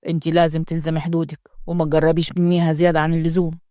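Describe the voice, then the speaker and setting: A woman voicing a harsh, commanding wealthy father in an Upper Egyptian accent, gruff and blunt. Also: sits low, flat delivery, rapid-fire, mellow, no room sound, clean studio sound, lightly acted. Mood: angry. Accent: Upper Egyptian Arabic. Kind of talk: storytelling, acted dialogue.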